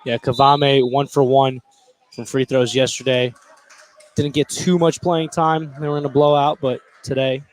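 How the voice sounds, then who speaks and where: A man's voice: medium pitch, little pitch movement, unhurried, poor audio. A man working as a color commentator on live basketball, giving casual, opinionated and emphatic analysis. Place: indoor basketball gym.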